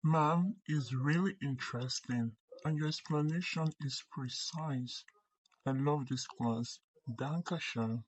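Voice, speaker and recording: A male student answers his teacher in a class. His voice is husky and his pace is slow, with a mid-range pitch. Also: highs cut off.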